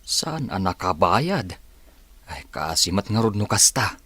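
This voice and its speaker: A man playing a humble, hardworking village father, measured and earnest, in a radio drama. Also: on the low side, very animated, bright, forceful tone, slightly rough, no room sound, heavily acted. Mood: concerned.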